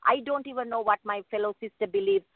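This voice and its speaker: A woman from Sri Lanka speaking as a guest in a radio debate, earnest and halting, restarting her sentences. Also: fairly high pitch, very animated, vocal fry, no echo. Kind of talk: phone-in panel debate. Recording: thin phone line, dry.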